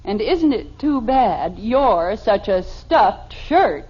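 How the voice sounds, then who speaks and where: A woman's voice: mid-range voice, very animated, takes it slowly. An American actress playing a patient, sensible wife and mother in 1950s radio comedy style, placating and dryly teasing. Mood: amused. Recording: dull, noisy old radio recording.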